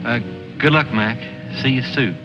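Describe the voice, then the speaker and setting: An actor playing a friendly, easygoing soldier in a mid-century film drama. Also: male voice, medium pitch, a little slow, lightly acted. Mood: casual. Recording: muffled old film soundtrack with music.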